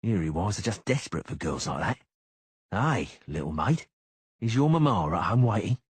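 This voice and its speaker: Dude doing a creepy low killer, super slow drawl, hissy, husky. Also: male voice, sing-song, no echo, heavily acted. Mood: menacing drawl. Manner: drawling, hissing.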